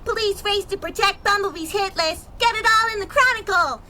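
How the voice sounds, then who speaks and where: A shrill, excitable street paper seller in a vintage radio play. The cries are extremely high, piercingly bright and loud, over faint noise. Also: female voice, a little fast. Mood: urgent hawking. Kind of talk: 1930s-style radio drama acting.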